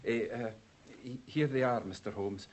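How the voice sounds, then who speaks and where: An English actor playing an anxious, earnest young professional man in a 1950s radio drama, speaking in a halting, protesting way. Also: medium pitch, darkish tone, natural voice. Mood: anxious, explaining. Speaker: actor playing the accused client. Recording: slightly muffled vintage 1950s radio recording.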